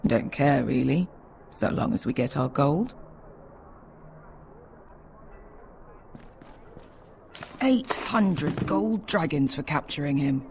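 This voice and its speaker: A woman's voice: low voice, big pitch swings, measured pace, gravelly, a little mellow, heavily acted. An actress playing a brash, cynical female mercenary in a video game, blunt, sardonic and foul-mouthed in manner. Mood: gruff, businesslike. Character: brash, cynical female sellsword, loyal but greedy. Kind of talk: video game character acting.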